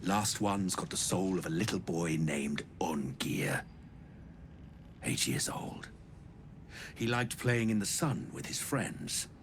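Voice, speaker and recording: An actor playing a gruff, wry game trader who tells grim tales in a blunt, folksy way. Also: male voice, deep voice, slow pace. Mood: grim storytelling. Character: gruff unhurried male trader, sardonic messenger telling grim soul stories. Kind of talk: video game character dialogue. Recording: constant noisy ambience behind voice.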